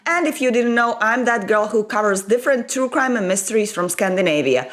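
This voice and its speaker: A young woman from the Baltic states, not a native English speaker, telling a true crime story to camera in a chatty way full of asides, at a desk microphone in a small room at home. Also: medium pitch. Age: young adult. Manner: chatty, animated, frequent asides. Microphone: desktop microphone in front of her.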